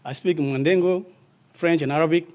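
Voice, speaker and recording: A man's voice: fairly high pitch, moderate pace, darkish tone. A young man from Mali giving a short, hesitant speech to introduce himself as a student.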